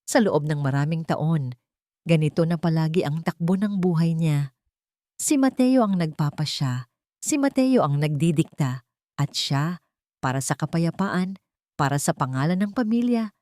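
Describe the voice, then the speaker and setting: Lively low-voiced woman narrating a dramatic story. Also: normal speed, dry recording, clean studio sound. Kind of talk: dramatic scripted romance narration.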